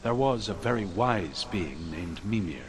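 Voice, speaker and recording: An actor playing a grave storyteller of Norse legends, speaking in a measured, portentous way in a video game. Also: male voice, deep voice, lively intonation, background noise. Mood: solemn, grave. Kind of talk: solemn mythic storytelling.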